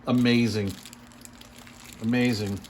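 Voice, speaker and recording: Man, medium pitch, casual unboxing commentary, impressed mild exclamations, noticeable ambient noise behind the voice. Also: steady tone, takes it slowly. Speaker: product reviewer. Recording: clear background noise and room ambience.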